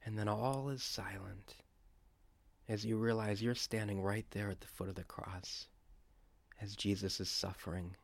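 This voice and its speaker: A man leads a guided prayer meditation in a slow, hushed voice that stays low and level. The recording is close and dry. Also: steady tone, creaky, no echo. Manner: slow, hushed, imaginative guiding.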